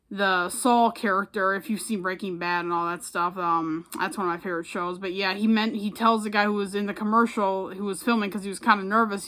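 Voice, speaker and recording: An American woman talks casually to the camera, as a vlogger would. Her delivery is informal and rambling, with a modest, self-effacing way of putting things. Her pitch sits in the middle for a woman. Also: a bit bright. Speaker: public address announcer and vlogger.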